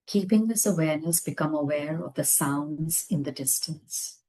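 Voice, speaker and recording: Female meditation guide, low-pitched and breathy-husky, gentle delivery with spacious pauses. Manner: gentle, unhurried phrases with long pauses. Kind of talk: guided meditation, slow soothing instruction.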